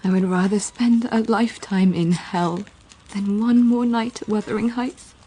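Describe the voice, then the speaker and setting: An actress playing an anguished, defiant young wife in a radio drama, with a bitter, intense manner. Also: female voice, medium pitch, fairly even intonation, normal speed, mellow, breathy edge, natural voice. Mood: bitter, grieving. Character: anguished, weary, defiant young wife fleeing a violent husband.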